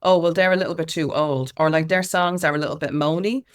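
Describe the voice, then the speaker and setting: A woman's voice: deep voice, fairly even intonation, quick pace. A woman with an Irish accent speaking informally and emphatically as an interview guest, telling anecdotes and acting out what others said. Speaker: interview guest, music consultant and campaigner. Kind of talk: conversational interview with animated anecdotes.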